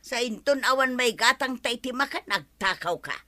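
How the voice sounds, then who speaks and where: Woman acting as a high-voiced scolding Ilocano wife. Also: lively intonation, moderate pace, bright, forceful tone, dry recording. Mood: reproachful. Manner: reproachful, nagging. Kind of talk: Ilocano comedy drama acting.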